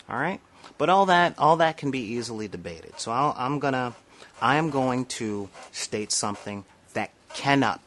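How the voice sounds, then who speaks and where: Male vlogger, nasal timbre, somewhat low voice, forceful rhetorical delivery straight to camera. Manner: emphatic, rhetorical asides, frequent "all right?". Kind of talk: impassioned monologue to camera.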